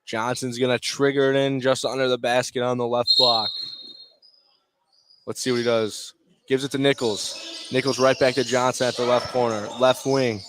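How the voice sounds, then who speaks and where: A man doing live play-by-play basketball commentary in clipped phrases, with excitable calls on big plays. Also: medium pitch, moderate pace, background noise. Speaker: play-by-play sports announcer. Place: courtside at a basketball game.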